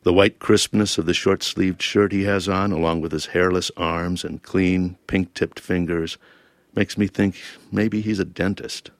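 A very deep-voiced man with a gravelly rasp, reading his own essay and then talking it through in interview. He is thoughtful and wry, and the sound is close and dry. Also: fairly even intonation, unhurried, no echo. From Lebanon-born, raised in Toledo, Ohio. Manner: thoughtful, wry and self-questioning. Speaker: author and interview guest.

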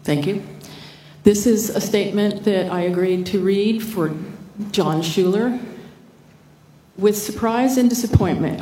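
A woman, a chapter councilor, reading a prepared statement aloud in a steady, formal way at a floor microphone in a large meeting hall. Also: on the low side. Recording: echoey hall, distant mic, faint noise.

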